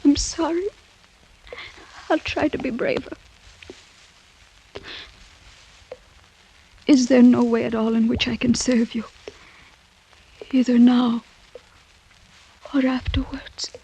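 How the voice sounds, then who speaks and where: High-voiced, anguished young French maiden. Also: female voice, steady tone, a little slow, long pauses, mellow, husky, exaggerated voice, poor audio. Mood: subdued sorrow. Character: beautiful young French noblewoman, anguished, proud, earnest. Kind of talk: 1940s-50s American radio drama acting.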